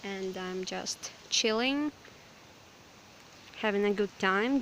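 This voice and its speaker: Hoarse, apologetic Ukrainian young woman. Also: medium pitch, fairly bright tone, a little hiss or hum, poor audio. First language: Russian. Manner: hesitant, apologetic, lots of fillers. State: hoarse, sick. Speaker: vlogger. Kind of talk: casual rambling chat. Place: outdoors in a forest.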